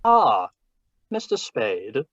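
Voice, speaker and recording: An actor playing a jovial, shrewd, long-winded crime boss in a radio drama, with florid formal speech, over a video call. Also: male voice, medium pitch, wildly swooping pitch, a bit bright, no echo, heavily acted. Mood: genial. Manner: florid, formal, deliberate, genial. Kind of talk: 1940s radio drama read-through. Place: video call read-through. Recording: dull, dry video-call audio.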